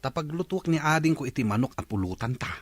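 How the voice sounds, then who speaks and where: Man acting a carefree young village bachelor, lively and high-pitched. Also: fast talker, slightly rough, no room sound. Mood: jovial scheming. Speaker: actor. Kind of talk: comedy radio drama.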